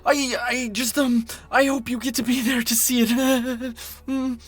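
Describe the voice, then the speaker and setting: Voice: mid-range voice, sing-song, normal speed, slightly rough, soft background music. A performer playing a nervous, kind lab assistant in an audio roleplay drama, hesitant and stammering. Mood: flustered.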